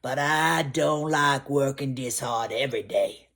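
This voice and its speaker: A storyteller voicing a big, sly, grinning male alligator with a low growl. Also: slow, creaky, a bit bright, husky, full character voice. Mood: sly, menacing.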